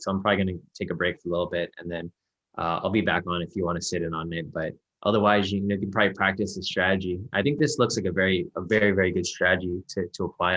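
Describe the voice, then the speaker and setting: A man mentoring a student over a video call in a relaxed, reassuring way with many fillers. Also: sits low, muffled recording. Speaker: trading mentor. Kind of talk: casual one-on-one mentoring call.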